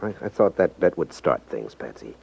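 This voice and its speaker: An American actor playing a wry, easygoing private detective in a 1950s radio crime drama. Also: male voice, extremely low pitch, big pitch swings, moderate pace, gravelly, a little mellow, slightly rough, exaggerated voice. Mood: brooding. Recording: dull 1950s radio recording.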